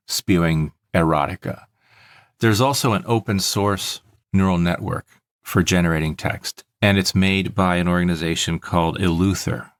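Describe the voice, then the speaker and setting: Low-voiced man explaining on a podcast. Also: gravelly, dry recording, studio quality. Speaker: podcast host. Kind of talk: casual explanatory podcast talk.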